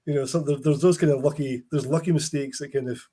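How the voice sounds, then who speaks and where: A man's voice: fairly high pitch, fairly even intonation, quick pace, warm tone. A man hosting a casual chat, telling rambling anecdotes with many restarts. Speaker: host.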